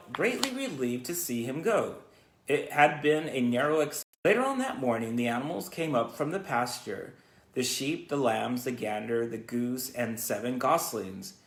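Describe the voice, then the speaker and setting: A man's voice: medium pitch, slight background noise. A man reading a children's story aloud as an educator, sometimes stumbling and repeating words. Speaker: school educator reading to students. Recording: roomy, echoey sound.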